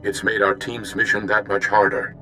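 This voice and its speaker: An actor voicing an earnest, calm male diplomat in a video game, briefing in a measured way. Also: lowish voice, low-quality recording. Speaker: mission contact. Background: music.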